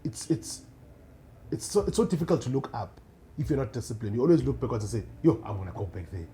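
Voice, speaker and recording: Young south african guy, relaxed voice. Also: mid-range voice, fast, slight background noise. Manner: animated, restarts often, laughs easily. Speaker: podcast guest, radio producer. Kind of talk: casual interview chat.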